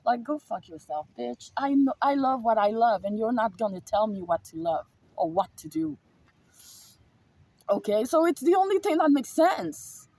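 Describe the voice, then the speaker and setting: Husky woman vlogger rambling through a story, emphatic, repeats herself, swears a lot. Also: a little high, big pitch swings, normal speed, mellow, dry recording, rough recording. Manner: emphatic, repetitive, swears freely. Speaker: spiritual vlogger. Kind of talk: casual storytelling monologue.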